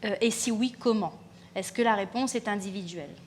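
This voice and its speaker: A woman hosting and moderating a live conference panel, asking her guests brisk, direct questions. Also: medium pitch, a little hiss or hum. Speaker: host and moderator.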